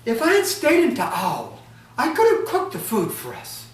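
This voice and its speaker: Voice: mid-range voice, wildly swooping pitch, moderate pace, voice pushed up. A boastful young trickster man whose voice jumps well above the teller's own and swings widely, as a storyteller puts him on. He sounds distant, with a roomy echo and faint ambience around him. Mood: pleading. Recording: echoey room, from far off, faint ambience.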